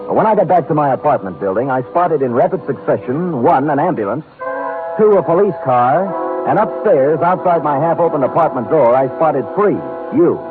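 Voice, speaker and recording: An actor playing a wry, hard-boiled private detective in a 1950s radio noir, dry and terse, on an old radio recording. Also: male voice, fairly high pitch, natural voice. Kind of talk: 1950s radio noir acting and first-person narration. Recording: tinny old radio recording, faint music.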